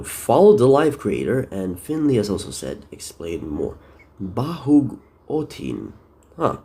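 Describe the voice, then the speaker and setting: A man from the Philippines with a deep voice, talking casually on a live stream, rambling and correcting himself with many fillers. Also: dark tone.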